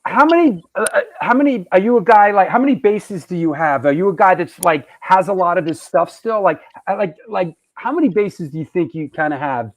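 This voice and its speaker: A man's voice: high-pitched, lively intonation, fairly quick, hardly any pauses. A male host of a live online show, chatting loosely and joking, reading out viewer questions. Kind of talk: live show casual chat.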